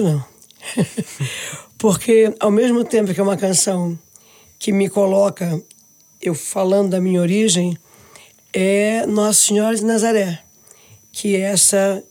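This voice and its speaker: Devout Brazilian woman from Pará. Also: medium pitch. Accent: Brazilian Portuguese. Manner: warm, devout, emotional storytelling with many restarts. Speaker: interview guest. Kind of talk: casual interview talk.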